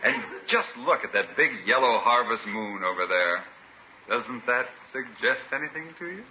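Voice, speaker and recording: An actor playing a smooth, flirtatious doctor coaxing his date in a 1950s radio comedy. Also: mid-range voice, animated, normal speed, dull audio, close to an everyday voice. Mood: coaxing, romantic. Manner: suave, coaxing. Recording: thin, hissy old radio recording.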